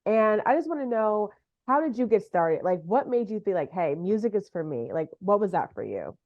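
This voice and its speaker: A woman interviewer chats casually and encouragingly with a guest. Her voice sits at a middle pitch for a woman. The recording is dull and a little lo-fi. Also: sing-song, normal speed. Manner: encouraging, affirming listener. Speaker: interviewer, host. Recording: dull, lo-fi sound.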